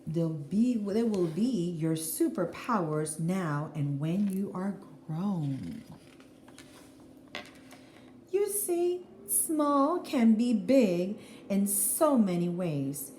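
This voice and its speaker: Animated woman reading aloud to children. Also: lowish voice. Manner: expressive, deliberate, addressing young children. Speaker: teacher reading a picture book aloud. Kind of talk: children's read-aloud with explanatory asides. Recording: roomy echo, faint background noise.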